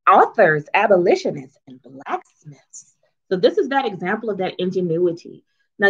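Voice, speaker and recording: A woman reads a picture book aloud to children as its author, in an expressive, teacherly way, pausing to explain and ask questions. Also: fairly low pitch, dull audio. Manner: teacherly, asks questions and checks in often.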